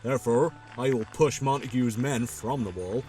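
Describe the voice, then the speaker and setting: A cocky young servant, acted by a man who swaggers and boasts. The voice is mid-pitched with faint music underneath, in a Shakespearean audio play style. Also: moderate pace, lightly acted. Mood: boastful, bawdy. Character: cocky, bawdy, quarrelsome young male servant.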